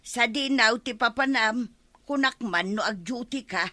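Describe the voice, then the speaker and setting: Pushy village wife, Ilocano accent, high bright voice, nagging, practical, radio comedy. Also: female voice, measured pace. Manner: nagging, questioning.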